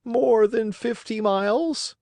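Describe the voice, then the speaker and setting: Storybook big male pigeon, played by a woman: high, lilting, kindly, fatherly, unhurried. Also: vocal fry, mellow, dry recording. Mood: helpful, calm. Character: large kindly male pigeon, helpful and calm.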